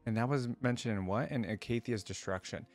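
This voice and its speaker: A man's voice: mid-range voice, brisk, no room sound, faint music behind. A man hosting a casual reaction show, talking conversationally, thinking aloud and putting questions to viewers as he reads along. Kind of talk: casual reaction commentary, reading wiki pages aloud.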